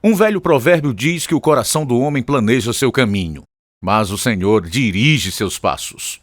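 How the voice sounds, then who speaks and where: A man's voice: medium pitch, animated, normal speed, no room sound, clean studio sound. A man with a Brazilian accent reading scripted narration as the announcer of a radio program. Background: guitar music.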